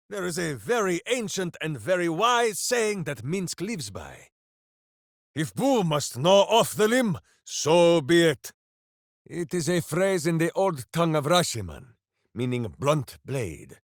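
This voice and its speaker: An actor playing a big-hearted, simple-minded berserker warrior in a video game, grandiose and earnest. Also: male voice, high-pitched, creaky, a bit bright, no echo, studio quality, heavily acted. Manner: grandiose, speaks of himself in third person. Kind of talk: fantasy video game voice acting.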